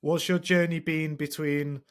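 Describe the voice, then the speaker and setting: A man's voice: fairly high pitch, level tone, a little slow. A man co-hosting a casual podcast, asking questions in a laid-back, slangy way. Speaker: podcast co-host, interviewer. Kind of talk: casual chat.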